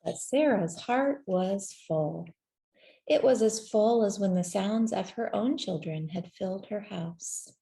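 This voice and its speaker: A woman reading a children's story aloud in a gentle, measured way, with deliberate pauses between phrases. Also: medium pitch, vocal fry. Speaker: storybook reader. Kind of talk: scripted children's story narration, read aloud.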